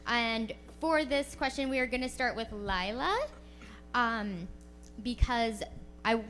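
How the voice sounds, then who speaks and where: A woman's voice: fairly high pitch, sharp tone. A woman moderating a panel discussion, playful and upbeat, joking with the audience as she sets up questions.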